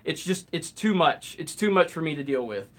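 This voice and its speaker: A man's voice: fairly high pitch, moderate pace. Chatty man answering viewer questions live. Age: 30s. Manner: relaxed, rambling, reads comments aloud. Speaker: host. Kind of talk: live stream Q&A chat.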